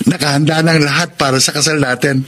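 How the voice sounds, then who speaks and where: An actor playing an eager, worried young fiancé in a radio drama, speaking earnestly with a Filipino accent and a halting delivery. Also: male voice, on the high side, fairly even intonation, fairly bright tone. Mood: puzzled, worried. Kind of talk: Tagalog radio drama acting.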